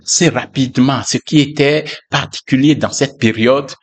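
A man, a pastor, sharing a personal testimony in a reflective, hesitant way with frequent pauses and fillers. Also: medium pitch.

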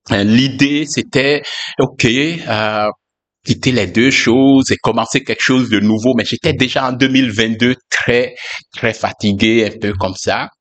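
A man, a pastor, sharing a personal testimony in a reflective, hesitant way with frequent pauses and fillers. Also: medium pitch, a bit bright.

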